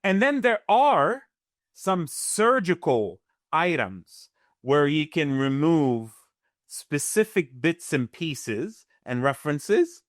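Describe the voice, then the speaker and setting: A man's voice: on the high side, very animated, slow pace, no room sound, clean studio sound. A man from Gaza whose first language is Arabic, speaking earnestly as a guest analyst in an interview, often repeating words as he starts a sentence.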